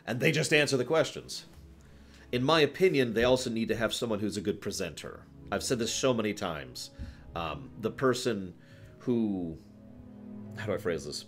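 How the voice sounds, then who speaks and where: Animated low-voiced man streaming casually. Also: normal speed, faint music behind. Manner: emphatic, conversational, breaks off to address chat. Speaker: livestream host. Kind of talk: casual opinionated chat with live viewers.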